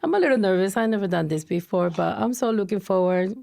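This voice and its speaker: A woman's voice: medium pitch, quick pace, creaky, warm tone, no echo, studio quality. A woman from Ecuador, a guest on a podcast, telling her career story in a chatty way and acting out her past reactions. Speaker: podcast guest, compliance analyst.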